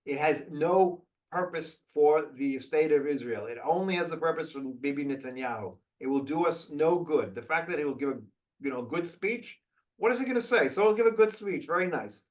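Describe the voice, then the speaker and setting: A man hosts a live question session over a video call, speaking bluntly and trading opinions with frequent restarts. His voice sits a little high for a man. The call audio makes him sound somewhat distant from the microphone. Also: animated, moderate pace. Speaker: host of a video call briefing.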